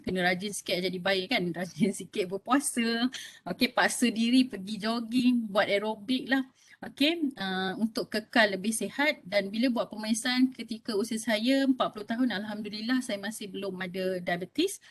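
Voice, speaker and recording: Woman, 50s, Malaysian Malay accent, health talk speaker, conversational, checks in with audience. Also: mid-range voice. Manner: conversational, keeps asking "okey?" to the audience.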